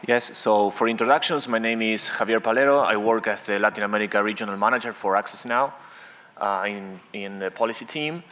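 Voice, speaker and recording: A man gives a short, plain introduction on a panel. His voice is lowish and bright, and it is heard from a distance, off the microphone. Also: a little fast, slight background noise. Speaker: panelist, regional manager.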